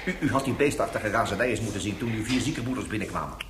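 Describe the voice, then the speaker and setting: A man's voice: lowish voice, animated, quick pace, noisy background, lightly acted. An actor playing an alarmed police inspector in a radio drama, stammering and repeating his words. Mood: agitated, fearful.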